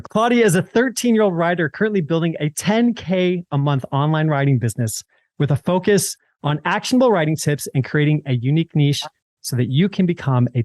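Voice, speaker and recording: A man's voice: on the high side, darkish tone, no room sound. A man hosting a podcast, introducing and interviewing in a conversational way. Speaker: podcast host.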